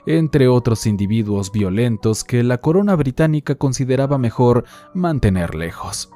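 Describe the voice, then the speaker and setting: A man calmly narrating horror stories sent in by listeners, in a measured, somber reading. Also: mid-range voice. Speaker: horror story channel narrator. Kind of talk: scripted horror narration read from listener letters. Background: faint music.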